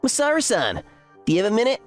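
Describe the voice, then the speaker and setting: A woman's voice: a little high, huge pitch swings, quick pace, soft background music. A woman voicing a mocking, condescending male university student in an anime-style story dub. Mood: gloating. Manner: sneering, condescending. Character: smug, mocking young man, a university underclassman.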